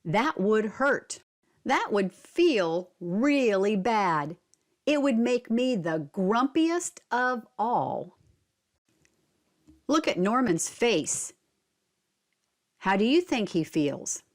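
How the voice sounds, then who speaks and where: A woman's voice: on the high side, sing-song, drawn-out words, pauses often. A woman reading a picture book aloud to children, explaining simply and asking guiding questions. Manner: simple, explanatory, addressing young listeners. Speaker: read-aloud narrator.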